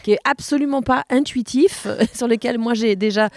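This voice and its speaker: A woman's voice: fairly high pitch, moderate pace, darkish tone, dry recording. A woman interviewing conversationally as a podcast host.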